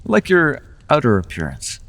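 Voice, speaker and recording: A man's voice: mid-range voice, huge pitch swings, normal speed, gravelly, fairly bright tone, full character voice. A man voicing a charming, manipulative male fey lord in an ASMR roleplay, speaking in a silky, coaxing, teasing way. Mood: persuasive. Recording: long reverb.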